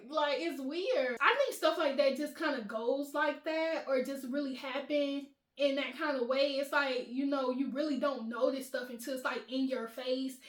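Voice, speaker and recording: A woman hosting her channel, telling a storytime in casual chat with a high-pitched voice, animated and laughing often. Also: roomy sound. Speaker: vlogger, channel host.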